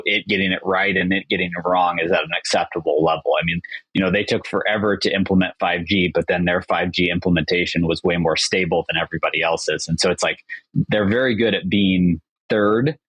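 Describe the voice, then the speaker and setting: Deep husky-voiced man. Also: a bit bright, no echo, highs cut off. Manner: upbeat, enthusiastic, talks in flowing sentences. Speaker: agency founder, podcast guest. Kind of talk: casual interview chat.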